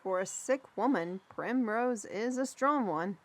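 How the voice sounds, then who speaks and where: A woman playing a plain-spoken mentor in a fantasy audio drama, telling things in a folksy, measured way with dramatic emphasis. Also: fairly high pitch, expressive. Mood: reflective. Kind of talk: fantasy audio roleplay, storytelling.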